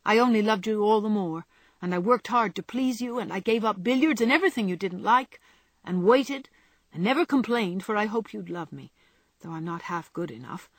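A woman's voice: medium pitch, animated, moderate pace, dry recording. A woman reading aloud, voicing a passionate young man who pleads and protests in a storybook. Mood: pleading. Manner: pleading, impassioned.

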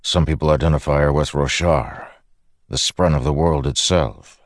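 A man's voice: rumbling low, normal speed, dry recording, studio quality. A man voicing a stern, guarded war leader in a fantasy audiobook, speaking in a firm, blunt, stubborn way.

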